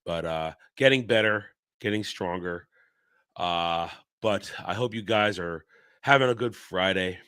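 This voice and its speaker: A man's voice: low voice, a little slow, fairly bright tone, clear, resonant tone, no echo, studio quality. Tired, congested man hosting a livestream. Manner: rambling, blunt, frequent restarts. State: sick and congested. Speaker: livestream host. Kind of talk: casual livestream chat.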